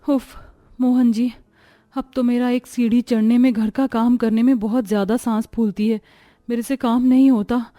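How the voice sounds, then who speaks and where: A woman performs a meek young pregnant wife in a cartoon family tale. She sounds weary and put-upon, polite even when she complains, in a fairly high voice. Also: normal speed, lightly acted. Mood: distressed, complaining. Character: tired, meek pregnant young sister-in-law, pleading. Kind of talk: acted Hindi cartoon moral story.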